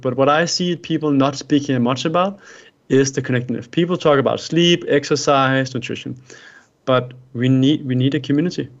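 A man from Denmark, whose first language is Danish, answering interview questions about life coaching in an earnest, advice-giving way. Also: medium pitch. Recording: dull lo-fi sound.

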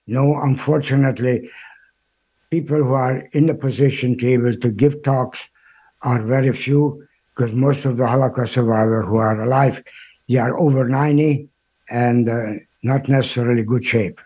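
An older man in his eighties with a Hungarian accent. His voice is husky and mellow, and he talks haltingly, restarting his words as he answers an interviewer. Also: medium pitch, steady tone, low-quality recording. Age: 80s. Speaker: guest, Holocaust survivor. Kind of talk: interview answers, recounting history.

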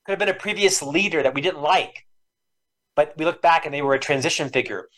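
This is a man in his fifties who has stuttered all his life. As a podcast guest he speaks in an earnest, reflective way, and his voice sits a little high for a man. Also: fairly quick, fairly bright tone. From Florida, US. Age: 50s. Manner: lifelong stutterer, earnest and emotional. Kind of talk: reflective storytelling interview.

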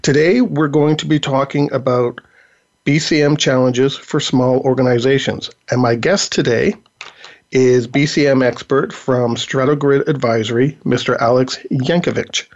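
A man's voice: mid-range voice, steady tone, normal speed. A man hosting a talk show, chatting casually with many fillers while he is losing his voice. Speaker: podcast host. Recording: dull lo-fi audio.

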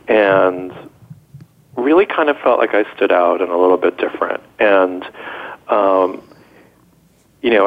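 Male interview guest, very low voice, slight cold, halting and measured storyteller, narrow phone-line sound. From United States, grew up in New York state. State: getting over a cold. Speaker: interview guest, hair-care entrepreneur.

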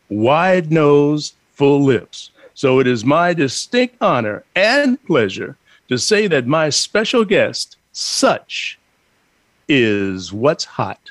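A man from Chicago hosting an interview, speaking slowly and haltingly with many fillers. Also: a little high, no room sound. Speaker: host. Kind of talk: interview host.

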